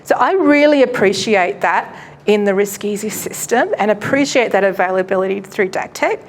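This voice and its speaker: A woman pastor giving a live presentation to an audience at a launch event, loud and chatty, often laughing and poking fun at herself, her microphone cutting in and out. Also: mid-range voice, lively intonation, normal speed. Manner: loud, chatty and self-mocking; laughs often while talking. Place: event venue.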